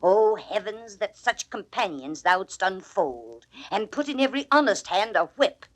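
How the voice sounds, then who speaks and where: A woman's voice: medium pitch, very animated, unhurried. A woman playing a blunt, fiercely loyal waiting-woman in a classical Shakespearean drama, with emphatic, declamatory delivery. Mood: outraged. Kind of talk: classical Shakespearean stage acting on record.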